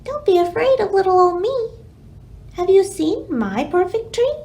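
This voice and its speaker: A woman's voice: very high voice, sing-song, measured pace, darkish tone, pitched above the performer's own voice, heavily acted. A woman voicing a small, friendly old spider in a children's storybook, coaxing and reassuring. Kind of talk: children's storybook read-aloud.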